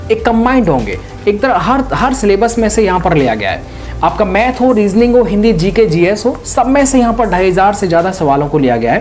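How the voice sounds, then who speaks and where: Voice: mid-range voice, a little mellow. An exam-prep presenter explaining things informally to students in a didactic way, checking in with rhetorical questions.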